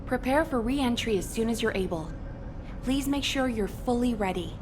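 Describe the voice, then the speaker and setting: A woman's voice: on the high side, moderate pace, over music, close to an everyday voice. A woman playing a composed military officer in a video game, giving formal, explanatory briefings. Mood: serious briefing. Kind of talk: scripted video game voice acting. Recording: noisy game ambience behind voice.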